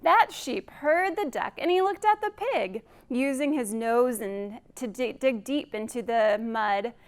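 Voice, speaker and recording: Slow, high-voiced woman telling children's stories. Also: animated. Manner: singsong, deliberate, asks listeners questions. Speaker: children's librarian, storytime host. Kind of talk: read-aloud storytelling for young children.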